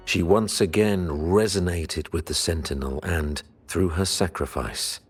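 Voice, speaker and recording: Very deep, solemn religious leader. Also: male voice, a little slow. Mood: solemn, reverent. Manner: solemn, oratorical, formal diction. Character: grave, commanding male religious leader, solemn and zealous. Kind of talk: dramatic video game voice acting. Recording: loud orchestral music behind. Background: loud game music.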